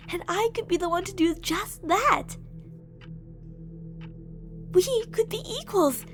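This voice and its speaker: A woman voicing an obsessive, menacing shapeshifting monster who coaxes and taunts intimately in an ASMR horror roleplay. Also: extremely high pitch. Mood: possessive, pleading. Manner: intimate, taunting, possessive, with drawn-out phrases. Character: obsessive female shapeshifting monster posing as a lover, menacing, coaxing.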